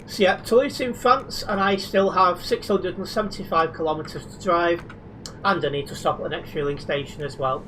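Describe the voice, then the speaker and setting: A man's voice: high voice, moderate pace, pushed and bright, slight background noise, rough recording. A man chatting casually to viewers while live-streaming a driving game, reading and answering chat, a little sleep deprived.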